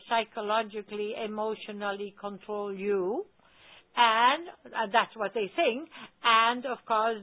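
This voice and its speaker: A woman with a Dutch accent, telling a story animatedly on a phone call, with emphatic stammered restarts. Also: fairly high pitch. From Netherlands. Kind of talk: casual talk on a radio call-in. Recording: tinny phone line.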